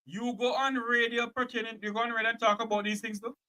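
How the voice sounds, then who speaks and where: A man's voice: extremely high pitch, level tone, quick pace, background noise. Insistent man hosting a call-in. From Jamaica. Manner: insistent, interrupting, repeats "sir". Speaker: radio call-in show host. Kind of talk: call-in talk show.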